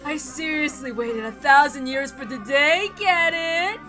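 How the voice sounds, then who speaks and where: Gleeful taunting witch: cackling, theatrical, bright and forceful, drawn-out words. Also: high voice, soft background music. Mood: exultant taunting. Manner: theatrical, drawn-out, jeering delivery.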